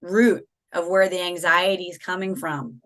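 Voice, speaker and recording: A woman hosting a podcast interview over a video call, speaking in a casual, affirming way, her voice a little raspy after losing it. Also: on the low side, steady tone, normal speed. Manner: warm, affirming, frequent agreeing interjections. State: slightly raspy from a lost voice. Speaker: podcast host.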